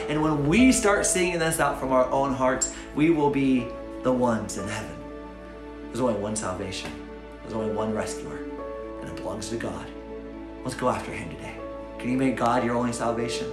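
Earnest pastor preaching in an echoey room. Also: male voice, medium pitch. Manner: earnest, animated, swings between loud urging and soft prayer. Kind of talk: short video sermon to camera. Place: bright home room with windows, indoors. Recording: echoey room, faint music behind.

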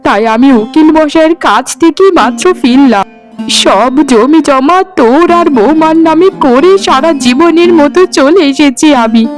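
A woman acts out an elderly village mother for a cartoon drama. The voice is high, plaintive and affectionate, like a wronged parent pleading with family, over soft plucked-string music. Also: normal speed, lightly acted. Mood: aggrieved, sorrowful. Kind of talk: Bengali cartoon drama dialogue.

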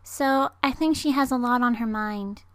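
A woman playing a perky, chatty teenage schoolgirl in an amateur anime dub audition, expressive and girlish. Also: fairly high pitch, normal speed, no echo, lightly acted. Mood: curious, teasing.

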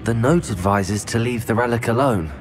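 A man playing a calm, diplomatic young wizard. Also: mid-range voice, faint music behind, close to an everyday voice. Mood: reasoning. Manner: measured, polite, persuasive. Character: diplomatic teenage wizard student, calm and reasoned. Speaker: game protagonist. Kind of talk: video game cutscene acting.

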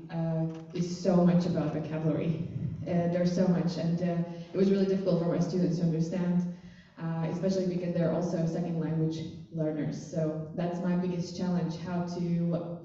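Woman, panelist, relaxed talk, dark tone, roomy hall, lo-fi. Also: fairly low pitch. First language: Latvian. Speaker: biology teacher, panelist. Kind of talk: panel discussion answers. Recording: roomy hall, distant mic, dull sound.

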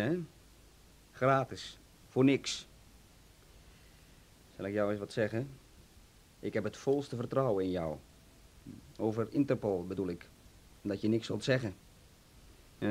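An actor in a Dutch radio play voicing a boastful small-time crook with a chatty, wheedling manner. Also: male voice, lowish voice, unhurried, long pauses, darkish tone. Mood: ingratiating. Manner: chatty, wheedling, tag questions.

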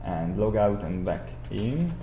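A young man giving an explanatory software tutorial, haltingly, repeating and rephrasing himself as he goes. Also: on the low side, very animated. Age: young adult. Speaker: tutorial instructor. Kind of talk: explanatory software screencast lecture. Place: indoor room, speaking beside a screen recording. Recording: roomy echo, audible background noise.

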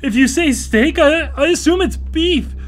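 A man's voice: very high voice, unhurried. A male actor in a radio play playing a dependent, easily rattled brother with a hesitant, emotional delivery. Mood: exasperated. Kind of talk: live radio play acting.

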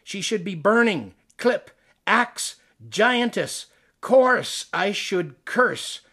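A Canadian man performs poetry with theatrical flair. His voice sits a little high for a man and moves through a lively range of pitch. Each phrase is placed deliberately, as an actor would in a dramatic reading. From Ontario, Canada.

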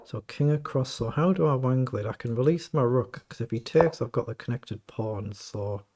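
Croaky middle-aged male streamer, gravelly, mid-pitched, thinks aloud over games; noisy home recording with clicks. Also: normal speed, a little mellow. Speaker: chess streamer. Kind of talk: live game commentary.

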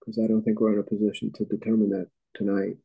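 A man's voice: on the low side, monotone, fast, vocal fry, slightly rough, narrow, tinny audio. A middle-aged man on a planning board, joining a meeting by video call and speaking plainly and matter-of-factly. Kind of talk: meeting discussion.

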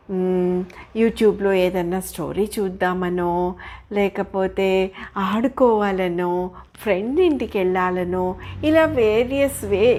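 A woman's voice: mid-range voice, darkish tone. An older woman telling a children's story informally, explaining as she goes and putting questions to her listeners. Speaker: storyteller grandmother.

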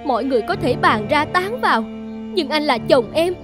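High-pitched, plaintive wronged wife. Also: female voice, lively intonation, normal speed, over music. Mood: hurt, pleading. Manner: plaintive, emotional pleading. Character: wronged, pleading strong wife with fish scales, later haunting ghost. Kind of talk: Vietnamese cartoon voice acting.

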